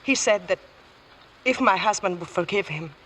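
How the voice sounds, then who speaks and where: A woman playing a composed, enigmatic young foreign widow on trial in a vintage radio drama, answering in measured, formal phrases. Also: medium pitch, animated, fairly bright tone. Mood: guarded. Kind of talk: 1940s-50s radio courtroom drama acting. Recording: faintly noisy vintage radio recording.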